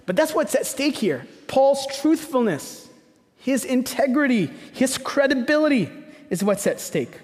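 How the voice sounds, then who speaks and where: A man's voice: very high voice, big pitch swings, a little slow, a little mellow. A man from Egypt preaching a sermon as a pastor, conversational, with emphatic repetition and rhetorical questions. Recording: long hall reverberation.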